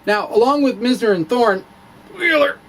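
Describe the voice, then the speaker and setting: Animated man with sweeping pitch, noisy recording. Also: moderate pace. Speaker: science vlogger presenting his own blog. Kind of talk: dramatic reading mixed with casual show-and-tell.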